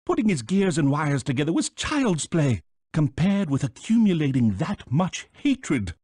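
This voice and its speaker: A pompous British bird mastermind from a video game. The delivery is theatrical and condescending, with pitch that leaps and dips constantly. The sound is dry and close. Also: male voice, big pitch swings, unhurried, a little mellow, breathy edge, dry recording, full character voice. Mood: gloating, lecturing. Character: pompous, scheming, flightless male bird villain, small and elderly.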